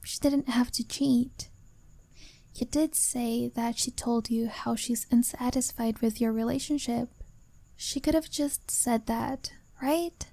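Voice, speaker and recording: A woman voicing a shy young woman who confesses her feelings to a younger friend, speaking softly and often in a whisper. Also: a little high, fairly even intonation, slow, gravelly, no room sound, heavily acted. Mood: annoyed. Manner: soft, hesitant, often whispered. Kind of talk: ASMR-style roleplay monologue.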